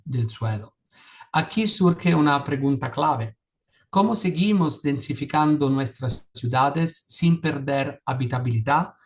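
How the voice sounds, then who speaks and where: A man presenting at a conference in Spanish, which is not his first language, speaking carefully with frequent restarts and fillers. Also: on the high side. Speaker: panelist.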